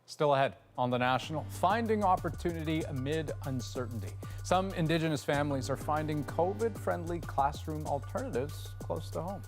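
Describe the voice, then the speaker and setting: A male news anchor giving scripted lead-ins in a crisp, even newsreading manner. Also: a little high, normal speed, hardly any pauses, soft background music.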